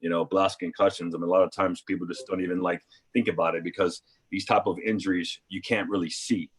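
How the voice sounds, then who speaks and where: Host: man in his 40s, relaxed conversational delivery, lower voice. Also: fast talker, breathy edge. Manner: casual, loose phrasing with fillers. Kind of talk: live video conversation.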